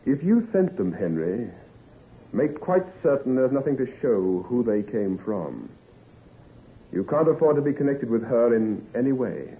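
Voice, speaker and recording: A brisk doctor and trusted friend in a vintage radio play. His mid-range voice rises and falls freely with emphatic assurance. It sounds narrow, like an old broadcast. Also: medium pitch, sing-song. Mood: reassuring. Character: male doctor, brisk, solicitous friend and adviser. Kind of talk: old-time radio drama acting. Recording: tinny old-time radio recording.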